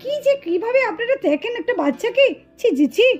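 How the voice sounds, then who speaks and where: A woman's voice: extremely high pitch, very animated, brisk, darkish tone. A woman voicing a stern, exasperated lady doctor doll in a melodramatic puppet cartoon, scolding, tutting and insistent. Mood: reproachful. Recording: music behind the voice.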